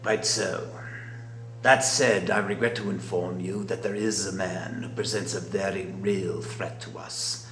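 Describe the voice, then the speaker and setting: Gravelly, sinister society leader. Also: male voice, low-pitched, fairly even intonation, a bit bright, reverberant, a little hiss or hum. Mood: grave, controlled. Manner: formal, measured, menacing oratory. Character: sinister composed male leader of secret murderous society. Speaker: actor. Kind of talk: dramatic film dialogue.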